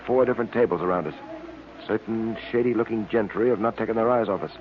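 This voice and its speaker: A man's voice: on the low side, fast, natural voice. An American actor playing a composed, confident male police detective in an old radio serial. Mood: wary, grim. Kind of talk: 1930s-40s radio serial acting. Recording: tinny, noisy old radio recording.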